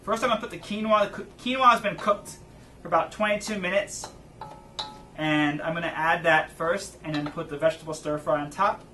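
Bright upbeat man hosting a cooking show. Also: on the high side, a little fast, recorded from a distance, soft background music. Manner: energetic, chatty, playful. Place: backyard garden outdoors. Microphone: far camera, outdoors.

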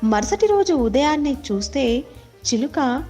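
A woman's voice: high voice, very animated, unhurried, warm tone. A woman storyteller reading scripted moral animal tales for children. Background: faint music.

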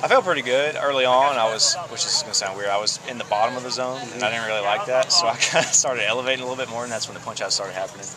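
A young man, a college baseball pitcher, answering postgame questions outdoors at night in an easygoing way, chuckling now and then. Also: very fast, few pauses. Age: young adult. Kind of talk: postgame interview. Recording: outdoor crowd noise behind.

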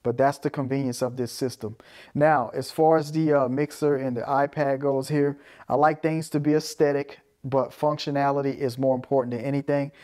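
A man's voice: mid-range voice, steady tone, normal speed, darkish tone. Man casually explaining audio gear. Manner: explanatory, often ends points with "right?". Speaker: presenter of an audio gear video. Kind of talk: casual hands-on gear walkthrough. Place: small carpeted room indoors.

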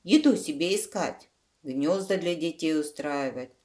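A woman's voice: sits low, expressive, unhurried, a little mellow. A woman voicing a practical woodpecker in a children's storybook, mildly scoffing as it explains. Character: amused, practical woodpecker scoffing at silly question.

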